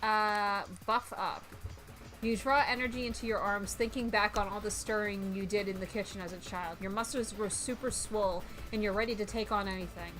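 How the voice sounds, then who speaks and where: A woman streamer doing a playful let's-play, reading game text aloud and reacting with animated exclamations. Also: mid-range voice, normal speed, faint music behind, rough recording.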